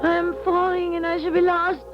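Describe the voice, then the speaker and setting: An actress playing a weary, proud medium in a 1970s radio drama, speaking formally and with precise, dramatic diction. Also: female voice, very high voice, flat delivery, brisk, over music. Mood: anguished, terrified. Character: weary, proud female clairvoyant medium, theatrical and firm. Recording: dull 1970s radio broadcast recording.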